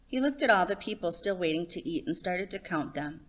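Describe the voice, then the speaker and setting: Female storyteller for a picture book, slightly low pitch, scripted narration. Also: expressive, brisk, rough recording.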